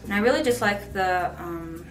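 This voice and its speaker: A woman's voice: medium pitch, moderate pace. A young woman giving casual, conversational interview answers, recorded in a roomy space. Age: young adult. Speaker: employee being interviewed. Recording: roomy, distant mic under music.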